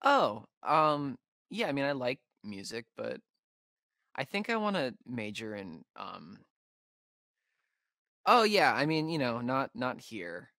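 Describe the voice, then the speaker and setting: A man's voice: mid-range voice, very animated, slow, sharp tone, dry recording, studio quality, heavily acted. A young American man playing a nervous, geeky, wry camp counselor in a video game, with a hesitant, stammering way of talking. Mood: earnest, musing. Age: young adult. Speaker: video game character actor. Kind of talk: naturalistic video game dialogue.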